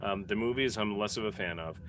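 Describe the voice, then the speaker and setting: A man answering casually as a podcast cast member, in a measured and somewhat hesitant manner. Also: on the low side, a bit bright, a little hiss or hum. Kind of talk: casual group chat.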